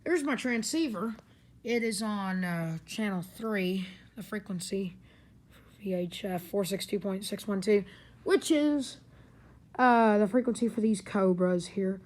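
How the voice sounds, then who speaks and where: A woman's voice: medium pitch, a little slow, darkish tone. A woman giving a casual hands-on gadget demonstration in a rambling, informal way, recorded in a living room. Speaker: gadget reviewer. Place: carpeted living room indoors. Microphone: handheld camera or phone, speaker off screen.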